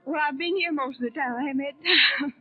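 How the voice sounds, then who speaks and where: A woman's voice: high-pitched, fast. Shy teenage woman on tinny old radio. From Tennessee, United States. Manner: polite, shy, short answers. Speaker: young convention delegate being interviewed. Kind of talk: 1930s radio interview answers. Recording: tinny, noisy 1930s radio broadcast.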